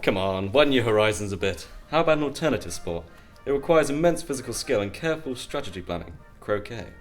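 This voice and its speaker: A man acting a well-off, boastful, stern middle-aged uncle in a radio drama, with a pompous, measured way of talking. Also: mid-range voice, lively intonation, soft background music, natural voice. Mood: boastful, jovial.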